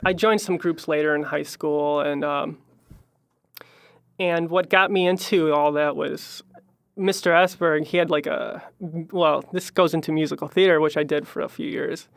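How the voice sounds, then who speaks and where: A young man from Chicago with a high voice, chatting as a musician guest in a podcast interview, rambling with many fillers and trailing off quietly. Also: steady tone, normal speed, creaky, dry recording. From Chicago, Illinois.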